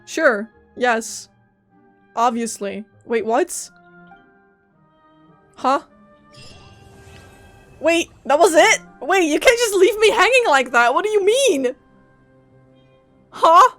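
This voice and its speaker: A woman's voice: sits high, big pitch swings, takes it slowly, pauses often, fairly bright tone, faint music behind. A young woman game streamer reacting casually to a story as she plays, in a playful, slangy way. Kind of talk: casual live gameplay reaction.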